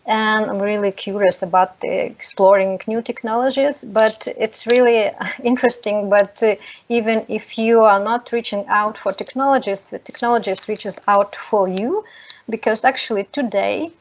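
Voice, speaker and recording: A woman from Lithuania whose first language is Russian presents at an online seminar. Her voice is medium in pitch and her delivery is hesitant and halting. The call sounds dull, with faint noise and clicks. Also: steady tone.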